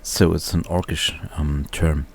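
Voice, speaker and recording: Deep male voice, slow delivery, casual reviewer, halting and unscripted. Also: little pitch movement, gravelly. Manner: halting, searching for words, often chuckling to himself. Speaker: reviewer, video host. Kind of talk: casual off-the-cuff book review.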